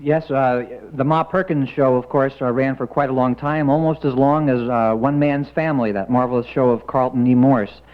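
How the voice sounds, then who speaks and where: A man's voice: medium pitch, fairly even intonation, moderate pace, hardly any pauses. Man in his thirties, dull radio sound. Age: 30s. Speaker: radio guest, old-time radio enthusiast. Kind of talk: informal explanatory interview talk. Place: radio studio. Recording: dull archival radio broadcast.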